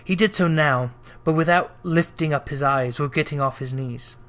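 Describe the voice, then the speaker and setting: A man's voice: fairly high pitch, normal speed, a bit bright. A man narrating a classic literary tale in a dramatic storytelling style. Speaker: audiobook narrator.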